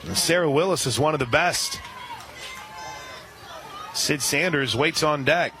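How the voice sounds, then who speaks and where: A man calls a college softball game on the radio, giving counts, stats and sponsor reads in a steady, informative way. His voice sits a little high for a man. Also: a little slow, soft background music, some chatter behind. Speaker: radio play-by-play announcer. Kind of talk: radio play-by-play commentary.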